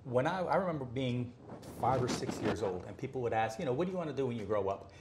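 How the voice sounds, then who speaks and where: Middle-aged man from Miami, relaxed guest storyteller, medium pitch, faint room hiss. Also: fast. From Miami, United States. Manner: easygoing, gestures with hands while talking. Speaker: artist guest. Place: living room in a home.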